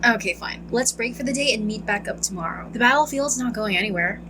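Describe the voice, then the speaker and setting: Playful high-voiced woman gamer. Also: expressive, quick pace, background noise. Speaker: gaming streamer. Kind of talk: casual playthrough commentary, reading dialogue choices aloud. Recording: game music behind the voice.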